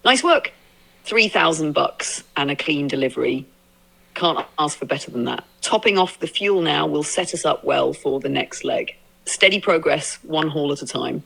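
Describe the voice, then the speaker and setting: A woman chatting casually as a supportive, upbeat co-driver companion, with a slightly creaky voice. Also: sits low, expressive, moderate pace, a bit bright, rough recording. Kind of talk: casual encouraging chat.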